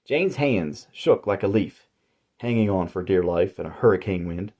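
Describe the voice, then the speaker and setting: A man narrating his own horror story in a measured, deliberate way. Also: low-pitched, expressive, darkish tone. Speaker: author and narrator.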